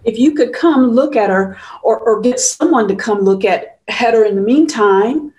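A woman's voice: on the high side, expressive, normal speed, a little mellow, natural voice. A woman playing a frantic young woman, urgent and alarmed for her sister, at a dramatic script table read. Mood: insistent.

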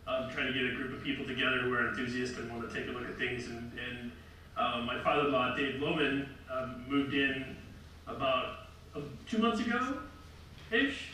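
A middle-aged man with a loud, bright voice presents to a roomful of people, rambling and restarting his sentences. He is heard from far off in a very echoey hall, with faint noise behind him. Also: medium pitch, moderate pace. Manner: rambling, self-correcting, light laughs. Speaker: lecture presenter. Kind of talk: casual public talk with slides. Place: large room with seated audience. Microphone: far camera.

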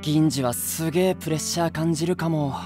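A man's voice: fairly high pitch, a little fast, dark tone, soft background music, close to an everyday voice. An actor playing an easygoing, respectful young male band vocalist in an anime voice drama, youthful and casually polite. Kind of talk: Japanese anime voice drama acting.

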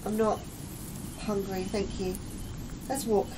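A woman's voice: mid-range voice, steady tone, slow pace, background noise, natural voice. Woman playing a distant, anxious, questioning scientist. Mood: uneasy, curious. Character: anxious, sceptical female astrobiologist, guarded and questioning. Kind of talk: audio drama horror acting.

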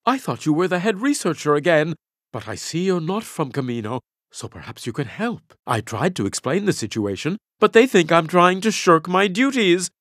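Pompous theatrical alien jedi master for a game, highish male voice, super animated, flowery grand wording. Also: normal speed, dry recording, clean studio sound. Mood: apologetic, aggrieved. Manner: florid, grandiose, theatrical diction. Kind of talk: video game character voice clips.

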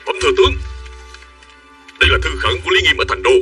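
A man voicing a dutiful military aide who delivers formal, urgent reports in a dubbed animated historical drama. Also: a little high, fast talker. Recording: slightly distant, faint music.

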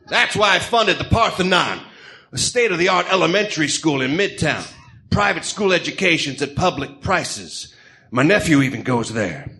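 Man putting on a bright, pompous ex-villain tycoon. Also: high voice, full character voice. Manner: pompous, theatrical. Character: flamboyant Greek ex-villain tycoon, grandiose, smooth self-justifying showman. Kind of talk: comedic read-aloud radio drama.

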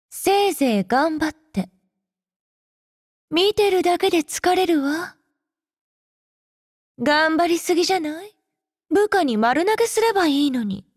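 Casting: haughty game femme fatale, young warrior, high-pitched, unhurried, teasing and possessive. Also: female voice, no room sound, clean studio sound. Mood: languid teasing. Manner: languid, teasing, coolly condescending. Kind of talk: Japanese game character voice lines.

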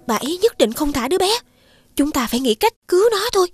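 Woman doing a sensible caring big sister girl in a kids story, very high voice, polite and gentle. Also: very animated, fast, no echo, faint music behind. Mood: determined. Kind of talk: scripted children's fairy-tale acting.

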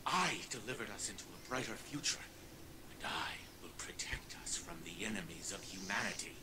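A man's voice: on the low side, noisy background. A man voicing an arrogant old male scientist who lives on inside a robot frame, in a video game cutscene, speaking in a cold, condescending, self-assured way. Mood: defiant.

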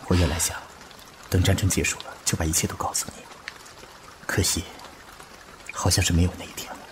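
A man's voice: sits low, little pitch movement, brisk, background noise, close to an everyday voice. A man playing a grave, restrained, resolute young undercover army officer in a radio drama. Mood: solemn, regretful. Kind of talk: solemn Chinese radio drama acting.

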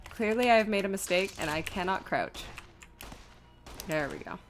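A woman's voice: mid-range voice, lively intonation, normal speed, a little hiss or hum. A woman streaming a video game, thinking aloud in casual commentary with self-deprecating, exasperated asides.

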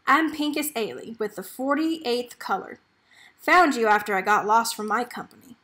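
A woman's voice: fairly high pitch, animated, moderate pace, fairly bright tone. Woman as an earnest, folksy young soldier boy. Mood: friendly. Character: earnest, protective teenage boy soldier in wartime.

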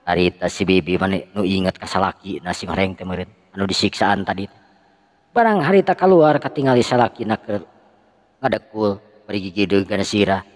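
A man with a Sundanese accent tells a folk tale in a lively, dramatic manner. His voice sits low. A long reverb follows his lines. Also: a little fast. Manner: lively, with shouted sound effects. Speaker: storyteller.

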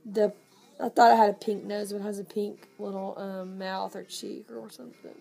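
A woman with a hoarse, coughing voice, chatting casually to camera in a nursery at home and cooing softly over a baby doll. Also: medium pitch, level tone, moderate pace. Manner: gushing, coos softly to the doll. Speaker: reborn doll collector vlogger. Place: home nursery room, indoors. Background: Christmas music playing.

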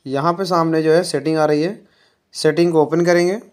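A man calmly walking viewers through a phone reset step by step, often filling pauses with "jo hai". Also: fairly high pitch. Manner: unhurried, explanatory, fills pauses with "jo hai". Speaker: tech tutorial presenter.

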